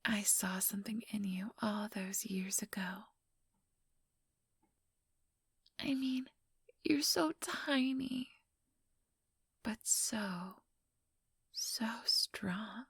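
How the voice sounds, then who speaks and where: A woman playing an ambitious vampire elder in a whispered ASMR roleplay, breathy, deliberate and patronizing, with cool menace. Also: medium pitch, fairly bright tone, no echo, clean studio sound, exaggerated voice. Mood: condescending fondness.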